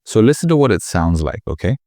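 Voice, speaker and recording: A man with a slightly nasal voice, casually talking through a software tutorial in a chatty, rambling way. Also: on the low side, big pitch swings, fast, dry recording. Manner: chatty, rambling, self-correcting. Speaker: tutorial host. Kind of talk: casual screen-recording tutorial.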